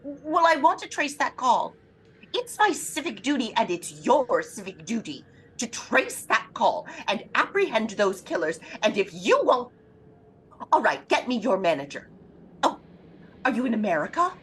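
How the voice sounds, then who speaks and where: An actress playing an anxious, imperious invalid wife in a throwback radio thriller, agitated, demanding and melodramatic. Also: female voice, on the high side, very animated, normal speed, a bit bright, a little hiss or hum, poor audio. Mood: indignant, demanding. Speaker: lead actress in an audio drama.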